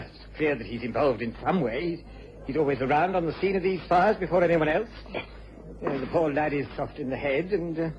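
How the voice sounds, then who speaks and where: A man playing a self-important, agitated committee chairman in a radio drama, halting and pompously insistent. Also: a little high, darkish tone, lightly acted. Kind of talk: BBC radio drama acting. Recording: muffled, slightly noisy old radio recording.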